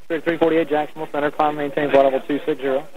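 A man's voice: a little high, level tone, racing. Male air traffic controller on crackly radio. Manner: procedural, clipped phraseology. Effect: radio transmission. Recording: narrow-band, noisy air traffic radio.

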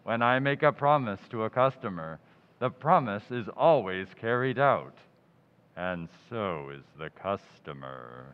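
An actor playing a pompous, smug middle-aged undertaker in a 1940s-style radio comedy, drawn-out and punning. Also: male voice, lowish voice, lively intonation, clear, resonant tone. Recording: tinny, reverberant lo-fi audio.

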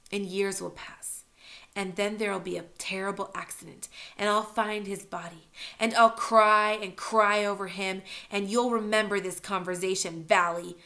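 A woman's voice: medium pitch, gravelly, fairly bright tone. A woman reading as a menacing, mocking older boy. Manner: taunting, then whispering.

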